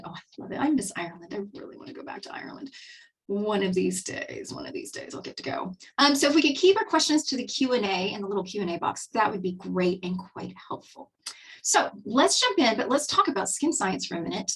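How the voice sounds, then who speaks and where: A woman's voice: mid-range voice, husky, distant mic, rough recording. A woman in her fifties with a Texan accent, hosting a casual webinar on skincare in a chatty, upbeat way with many fillers and restarts. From Texas, USA. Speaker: webinar host and skincare presenter.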